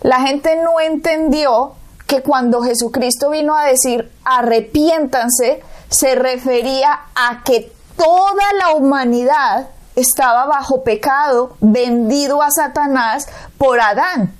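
Colombian lady explaining the bible, high voice, emphatic and preachy. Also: slow. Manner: emphatic, preacherly, asks rhetorical questions. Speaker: co-host. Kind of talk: Bible teaching talk show.

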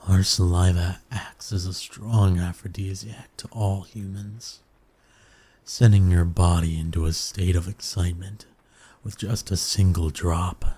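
A man plays a seductive male incubus in an intimate ASMR roleplay. His voice is very low and dark, and he takes his time, purring slowly. He is smug and dominant, yet coaxing and soothing. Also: steady tone. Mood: seductive, boastful. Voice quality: low, breathy, husky. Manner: slow, teasing, purring, coaxing with pet names.

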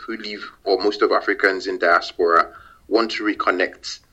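A man's voice: low-pitched, steady tone, moderate pace, pushed and bright. A man, a company founder, answers interview questions in a casual, hesitant way, full of fillers and repeated words. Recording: dull lo-fi audio.

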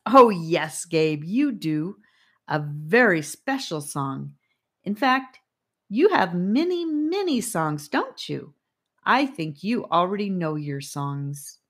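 A woman's voice: lowish voice, very animated, slow pace, exaggerated voice. A woman reading a storybook, voicing a serene, earnest girl who encourages her friends. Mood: encouraging.

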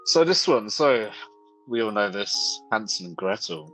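A man's voice: fairly low pitch, very animated, moderate pace, fairly bright tone, faint music behind, poor audio. A man co-hosting a podcast, telling stories in casual comic banter with wry jokes and swearing. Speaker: podcast co-host.

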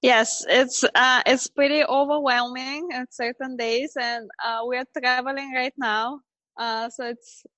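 A woman's voice: high-pitched, steady tone, a little slow, sharp tone, no echo. A woman in her thirties, from Bulgaria, talking as a guest in a casual remote interview, hesitant with many ums and laughs. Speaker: guest, orthodontist and travel blogger.

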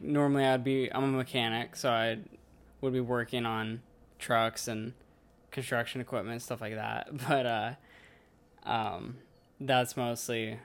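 A man's voice: mid-range voice, a bit bright. A 21-year-old man with an American accent, answering interview questions casually. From Washington state, United States. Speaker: interviewee, heavy equipment mechanic.

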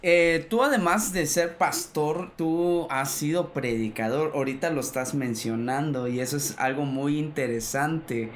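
A male radio host with a somewhat high voice asks his guest questions. He talks in a friendly, halting way, filling pauses often. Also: a little hiss or hum. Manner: friendly, hesitant with fillers. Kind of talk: radio interview.

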